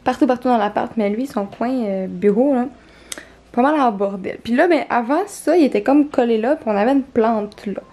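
A woman's voice: a little high, normal speed, warm tone. Chatty young woman with a Quebec accent. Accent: Quebec French. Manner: chatty, rambling, lots of fillers. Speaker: vlogger. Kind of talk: casual vlog chat. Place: small apartment indoors. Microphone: handheld camera at arm's length.

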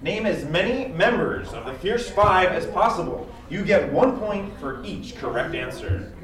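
A man running a school trivia night, reading questions and scores in a measured way. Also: high-pitched, expressive. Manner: measured, counts down, laughs at answers. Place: school library, indoors. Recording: echoey room from far off, loud music.

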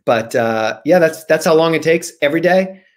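A man in his forties, an author guest, casually answering questions over a video call from a room at home in an animated, jokey way. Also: fairly high pitch, moderate pace.

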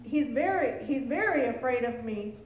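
A woman's voice: a little high, lively intonation, normal speed, close to an everyday voice. A woman voicing a bossy, fierce old village wise woman in a storybook, with a commanding, scolding manner. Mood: urgent, commanding. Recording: roomy, distant mic, faint noise.

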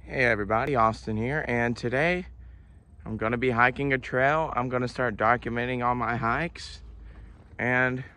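A man's voice: medium pitch, steady tone, moderate pace, sharp tone. Easygoing West Virginian man on a trail. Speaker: vlogger. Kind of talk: casual hiking vlog. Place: outdoors on a wooded trail.

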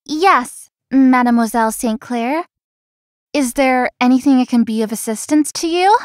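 A woman playing a gentle, dutiful young daughter in a visual novel. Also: high-pitched, moderate pace, no room sound, clean studio sound, natural voice. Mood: polite. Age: young adult.

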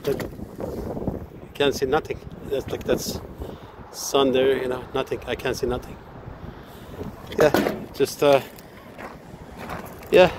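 A male HVAC technician talks haltingly through a repair, filling his pauses with "uh". He speaks at an ordinary middle pitch into a handheld phone. He is outdoors on a rooftop, and clear wind noise hits the mic. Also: flat delivery. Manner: halting, full of "uh" fillers. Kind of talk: casual work video narration. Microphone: handheld phone camera.

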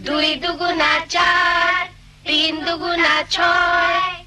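High-voiced gentle village woman. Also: little pitch movement, very slow, heavily acted. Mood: instructive. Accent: Bangladeshi. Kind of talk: animated cartoon voice acting. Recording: music under the voice.

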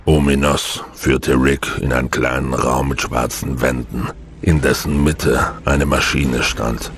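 A man's voice: a bass voice, flat delivery, measured pace, slight background noise. A man narrating a science fiction audio drama in a dramatic, scripted style. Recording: music behind the narration.

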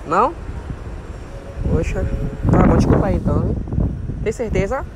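A young man with a high, light voice chats up strangers in casual slang, pushing his prank along. The sound comes from a distant hidden camera, with clear noise behind him in public spaces. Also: animated, moderate pace.

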